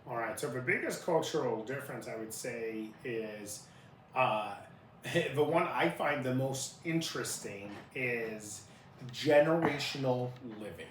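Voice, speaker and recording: A man's voice: medium pitch, measured pace. A man in his thirties from Iraq who grew up in Utah, a native Arabic speaker answering interview questions conversationally. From born in Iraq, grew up in Utah. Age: 30s. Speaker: interview guest, family lawyer. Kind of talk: conversational life-story interview. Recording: roomy, distant mic with faint noise.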